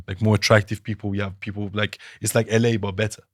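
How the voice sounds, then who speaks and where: A man's voice: lowish voice, flat delivery, fast talker, no echo, clean studio sound. A man from Iran, a political analyst guest, arguing his views emphatically with rhetorical questions in a podcast interview.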